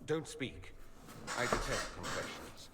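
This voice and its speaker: A man's voice: mid-range voice, animated, takes it slowly, very noisy. A man playing a proud, witty young nobleman mage with an arch, dryly sardonic manner in a video game. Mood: sincere. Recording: reverberant game dialogue with background ambience.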